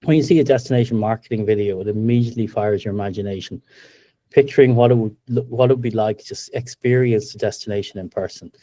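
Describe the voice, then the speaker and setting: Irish man with a mellow voice. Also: on the low side, fast, no room sound. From Ireland. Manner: steady, upbeat, many ums. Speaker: webinar presenter, company co-founder. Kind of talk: business presentation.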